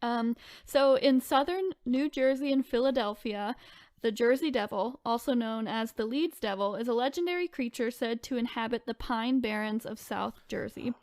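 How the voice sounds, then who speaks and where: A woman's voice: on the high side, normal speed, darkish tone, no room sound, studio quality. A woman co-hosting a podcast in casual chat, informal and chatty, sometimes reading out descriptions with asides. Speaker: podcast co-host.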